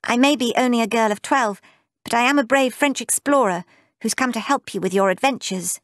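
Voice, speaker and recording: A woman's voice: on the high side, fairly quick, no echo, clean studio sound, voice pushed up, lightly acted. A woman voicing a brave, eager twelve-year-old girl who plays at being an explorer.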